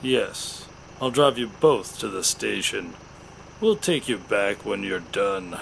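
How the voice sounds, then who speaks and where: Male, playing a menacing plain-clothes cop for radio play: gravelly, slow, curt orders. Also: lowish voice, very animated, fairly bright tone, full character voice. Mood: firm, official. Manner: curt, commanding. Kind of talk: audio drama acting. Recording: noisy home-device recording.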